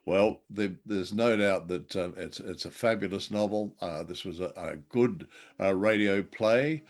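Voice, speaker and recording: A man's voice: sits low, measured pace. A man co-hosting a radio show and podcast, chatting in a casual, conversational style.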